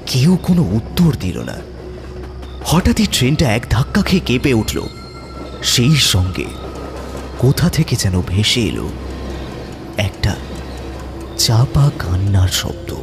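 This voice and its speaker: A man narrating a horror story in a suspenseful, theatrical manner with dramatic pauses. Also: medium pitch, big pitch swings, music in the background.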